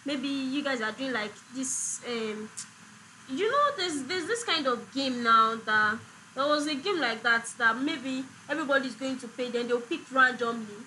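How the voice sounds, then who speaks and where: A woman's voice: sits high, normal speed, bright, forceful tone, slight background noise, rough recording. A woman hosting a casual talk show, playful and teasing. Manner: playful, teasing, laughs often. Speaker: host. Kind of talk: casual chat.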